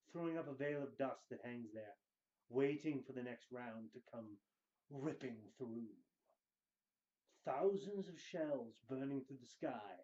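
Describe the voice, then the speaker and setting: Male narrator for theatrical fiction readings, slightly high-pitched yet soft-toned, emphatic and deliberate, on a muffled recording. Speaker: reader of book excerpts. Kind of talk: dramatic scripted book reading.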